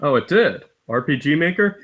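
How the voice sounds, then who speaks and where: A man's voice: fairly high pitch, lively intonation, normal speed, fairly bright tone. A man chatting casually as a guest co-commentator, reacting with short remarks. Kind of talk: casual chat.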